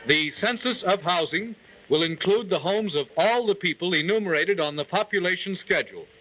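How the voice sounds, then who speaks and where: A man delivering formal, measured scripted narration in the manner of a 1940s instructional film. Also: sits high, bright, forceful tone. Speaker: narrator of a government training film. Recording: dull, lo-fi 1940 film soundtrack.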